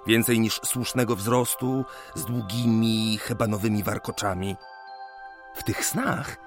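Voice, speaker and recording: A male actor plays a distracted young man in love, in a period radio drama. His voice is mid-pitched and swings expressively, with a creaky edge. He speaks hesitantly and earnestly, with music playing underneath. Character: lovesick, distracted young male geometer in the 1820s. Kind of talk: Polish radio play acting.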